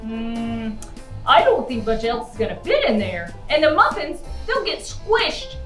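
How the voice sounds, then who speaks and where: A caring, fussy, folksy dad puppet, played by a woman for a children's show. The voice is high and unhurried, with gentle, deliberate phrasing. The room adds noticeable echo. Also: very animated, soft background music. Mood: fussing.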